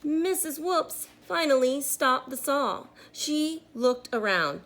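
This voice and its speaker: Slow, very animated woman reading to children. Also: high-pitched. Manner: deliberate, pausing for listeners to join in. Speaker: teacher reading a children's book aloud. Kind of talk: interactive children's read-aloud.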